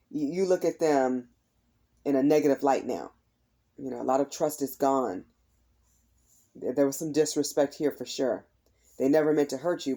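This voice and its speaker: A woman giving a casual tarot reading to her viewers. Her voice is slightly raspy and tired from heavy use, and she keeps repeating "is what I'm hearing" in a chatty way. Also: rumbling low, mellow. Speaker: tarot reader.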